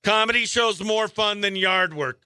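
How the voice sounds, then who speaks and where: Male radio host, chatty and upbeat, higher-pitched, recorded close and dry in a studio. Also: measured pace, pushed and bright, clean tone, dry recording. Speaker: stand-up comedian. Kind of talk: casual morning radio chat with livestream viewers.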